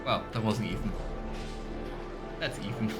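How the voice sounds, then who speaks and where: A man's voice: fairly low pitch, measured pace. A man casually commenting on a game he is playing, joking and rambling. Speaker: let's play host. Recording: with game music behind.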